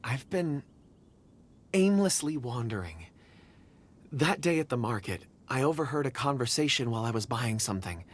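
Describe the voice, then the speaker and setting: A woman's voice: very deep voice, husky, exaggerated voice. An actress playing a polite young woman, hesitant and earnest as she tries to remember something.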